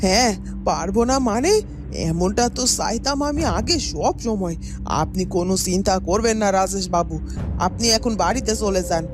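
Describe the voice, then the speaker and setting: A woman voicing a greedy, scheming middle-aged village mother in a cartoon, wheedling and sharp-tongued, with music behind. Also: medium pitch, expressive, normal speed, lightly acted. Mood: eager, greedy. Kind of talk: cartoon dubbing.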